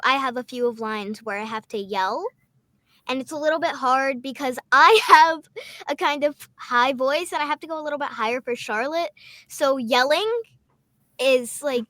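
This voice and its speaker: A woman with a very high, squeaky voice chats casually about her work. Her home booth makes the sound completely dry, with no room at all. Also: pushed and bright, no room sound. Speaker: voice actor guest. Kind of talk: casual video call interview. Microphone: home booth microphone.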